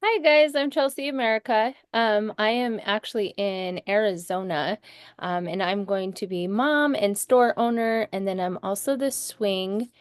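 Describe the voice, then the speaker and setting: A woman's voice: mid-range voice, unhurried, smooth, no room sound, studio quality. A woman, an actor on a video call, introducing herself casually. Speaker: actor at a table read.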